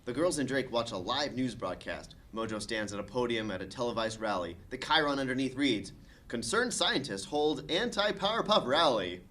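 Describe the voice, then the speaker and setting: A man's voice: medium pitch, animated, normal speed, sharp tone. A man reading scene directions as narrator at a comedic table read.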